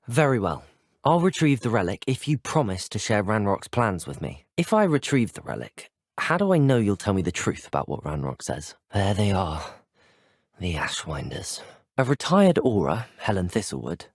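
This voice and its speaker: An actor voicing a polite, curious teenage student wizard in a video game, with a British accent and a courteous, earnest, well-spoken manner. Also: male voice, mid-range voice, dry recording, studio quality. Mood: probing, earnest. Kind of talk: video game character voice lines.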